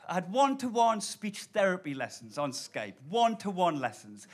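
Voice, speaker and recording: A man in his thirties from Blyth with a Geordie accent, doing an animated, sweary stand-up set live on stage. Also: high-pitched, normal speed. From Blyth, northeast England. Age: 30s. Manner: animated, swearing, laughing storyteller. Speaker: stand-up comedian. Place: live comedy club. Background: audience laughter.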